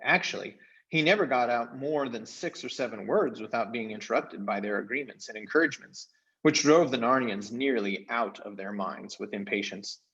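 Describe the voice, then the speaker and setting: A man narrating a children's book aloud as an expressive storyteller. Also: mid-range voice, moderate pace, dull audio.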